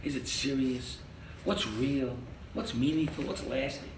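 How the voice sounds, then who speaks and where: An older man teaching a lively interactive Torah class as a rabbi, quizzing his audience and joking. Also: medium pitch, unhurried. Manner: animated, quizzes the audience, jokes. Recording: echoey room from far off with noise.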